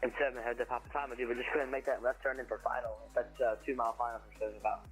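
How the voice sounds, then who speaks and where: Fast-talking man pilot on the radio. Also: mid-range voice, little pitch movement, fairly bright tone, soft background music, poor audio. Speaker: virtual pilot. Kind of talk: radio calls to air traffic control.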